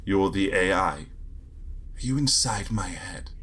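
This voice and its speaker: A man playing a grumpy, sardonic space agent in a sci-fi audio drama, with a husky voice and a wry, grumbling manner. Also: low-pitched, expressive, slow pace, a bit bright, heavily acted. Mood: alarmed, exasperated. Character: grumpy, trigger-happy male space agent, sardonic and exasperated. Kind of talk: first-person sci-fi audio drama narration and dialogue.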